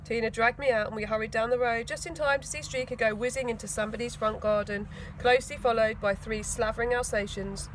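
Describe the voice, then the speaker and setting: A woman's voice: fairly high pitch, steady tone, fast, a little hiss or hum, rough recording. A woman reading a children's storybook aloud expressively, with dramatic emphasis. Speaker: school teaching assistant reading a children's book.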